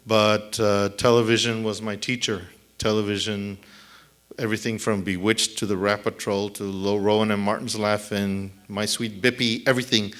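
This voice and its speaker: A middle-aged man, a native Spanish speaker, answering audience questions on a theater stage at a lectern mic, thoughtful and halting with many restarts. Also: fairly low pitch, flat delivery, a bit bright. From El Paso, Texas. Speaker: author at a book event. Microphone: lectern mic on a stand.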